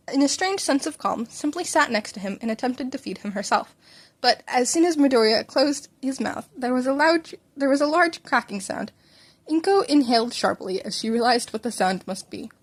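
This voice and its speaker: Casting: woman, fairly high voice, informal audiobook-style narration of fan fiction, unpolished with occasional stumbles. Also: expressive, moderate pace. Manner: informal, stumbles and rereads lines. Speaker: fan fiction podcast reader. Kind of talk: informal read-aloud story narration.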